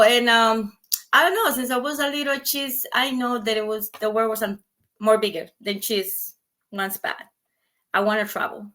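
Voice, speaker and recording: Female guest, native Spanish, Costa Rican accent; bright, forceful voice, animated casual storytelling with repeats for emphasis. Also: medium pitch. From Costa Rica. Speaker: podcast guest.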